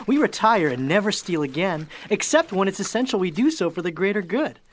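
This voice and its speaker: A smooth-talking, greedy rogue, acted by a man in a fantasy audio drama. He is wordy and theatrical, and his pitch swings widely through each phrase. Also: sing-song, a little fast, slight background noise. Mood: scheming. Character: glib, greedy roguish adventurer, leader of a band.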